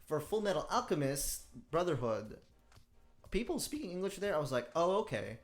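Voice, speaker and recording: A man from the Philippines chatting casually as a podcast co-host, excitable and often repeating his words. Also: on the high side, moderate pace. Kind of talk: casual chat.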